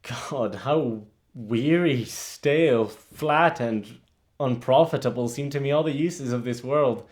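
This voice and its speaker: An actor playing a grieving, bitter young prince in a theatrical monologue, brooding and halting, rising into outbursts. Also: male voice, medium pitch, darkish tone, natural voice. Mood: weary despair.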